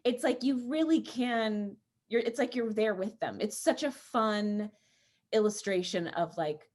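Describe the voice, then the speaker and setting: A woman chatting casually in an animated, enthusiastic way. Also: medium pitch, measured pace. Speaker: cast member of an audio drama. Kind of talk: casual chat.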